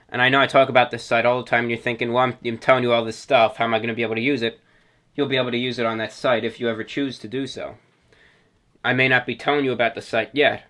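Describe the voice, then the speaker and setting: A man's voice: mid-range voice, bright, forceful tone. A man hosting a hobby show, talking informally to his listeners and explaining things step by step in a didactic way. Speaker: host of a radio hobby show.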